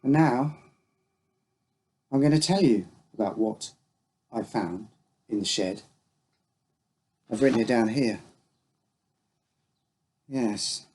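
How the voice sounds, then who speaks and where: A man's voice: mid-range voice, slow, pauses often, a little mellow, slightly rough. A man reading a scripted uncanny story as its storyteller. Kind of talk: scripted narration of an uncanny tale.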